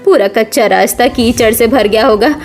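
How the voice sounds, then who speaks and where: A woman's voice: fairly high pitch, normal speed, darkish tone, voice pushed down, natural voice. A woman voicing an elderly, worried mother-in-law who scolds with care in a family cartoon. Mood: fretful.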